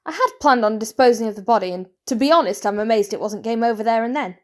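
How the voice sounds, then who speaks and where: A woman's voice: a little high, quick pace, close to an everyday voice. A woman acting a scolding, wounded aunt turned murderer in a comic drama, theatrical and indignant. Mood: matter-of-fact confession.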